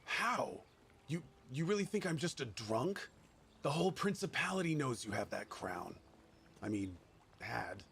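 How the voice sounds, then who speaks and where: A man playing a gruff, drunken veteran warrior, haunted but eager to fight, in a video game. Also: medium pitch, very animated, measured pace, a bit bright, slight background noise, exaggerated voice. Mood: urgent. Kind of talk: dramatic video game dialogue.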